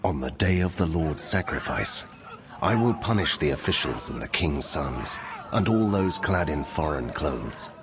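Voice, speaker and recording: An actor voicing a wrathful God pronouncing judgment in solemn, commanding declamation for a dramatized scripture reading. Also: male voice, a bass voice, big pitch swings, measured pace, exaggerated voice.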